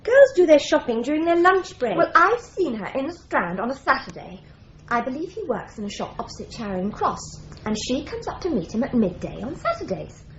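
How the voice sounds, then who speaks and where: A breezy, gossipy young secretary in an old radio play, her voice fairly high and bouncing up and down. The sound is roomy and dull. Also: big pitch swings, brisk, slight background noise. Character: flighty, chatty young female office secretary.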